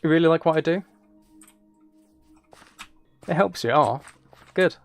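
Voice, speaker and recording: A man's voice: on the high side, unhurried, vocal fry, no echo, faint music behind. A man livestreaming a game, casually rambling and thinking aloud as he replies to viewers. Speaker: livestreamer, gaming and ASMR channel creator. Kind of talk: casual live stream chat while gaming.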